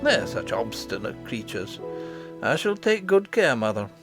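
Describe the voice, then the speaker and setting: A man's voice: medium pitch, big pitch swings, unhurried, music in the background. A man voicing a determined young miner boy in a storybook, eager and confident. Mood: eager, resolute.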